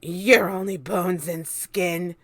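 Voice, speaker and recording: A woman voicing a vain, bossy old aunt who speaks slowly and haughtily. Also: lowish voice, steady tone, dry recording. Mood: haughty.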